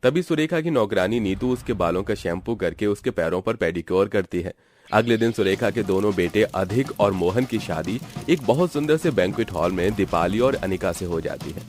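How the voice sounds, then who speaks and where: A man narrating a scripted animated family story. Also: on the low side, moderate pace.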